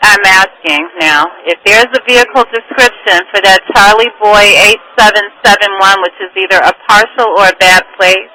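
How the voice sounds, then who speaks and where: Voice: mid-range voice, normal speed, hardly any pauses, pushed and bright. A police radio dispatcher speaking in a clipped, procedural way and repeating details over a two-way radio. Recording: noisy police scanner radio.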